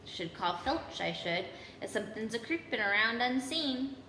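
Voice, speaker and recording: Storybook poltergeist, male, wicked and taunting singsong, played by a woman, bright voice, echoey recording. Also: a little high, lively intonation, normal speed. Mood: taunting, suspicious. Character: wicked, teasing male poltergeist, suspicious and sing-song. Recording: echoey hall, background noise.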